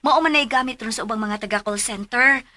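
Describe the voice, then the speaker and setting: A woman's voice: fairly high pitch, fairly quick, heavy background noise, natural voice. A woman with a Cebuano accent acting a casual friend offering advice in a radio drama. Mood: helpful, casual. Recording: loud music behind the voice.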